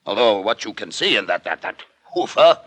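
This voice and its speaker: Male, radio drama: mocking, callous magician, forceful and hugely animated, grandly condescending. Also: fairly low pitch, brisk. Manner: theatrical, condescending. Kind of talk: 1940s radio acting.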